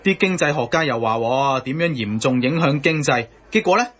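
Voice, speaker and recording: A man's voice: mid-range voice, animated, normal speed, fairly bright tone, lo-fi sound. An actor playing an outspoken, cheeky young man in a satirical radio drama, arguing colloquially with a Hong Kong Cantonese accent. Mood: argumentative. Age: young adult. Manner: colloquial, argumentative.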